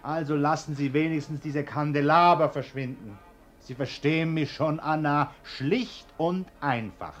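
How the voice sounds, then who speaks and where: An actor playing a fussy, nervous well-off householder who gives bossy orders in a German radio play. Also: male voice, fairly high pitch, a little slow, muffled recording, close to an everyday voice. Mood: fussy, agitated.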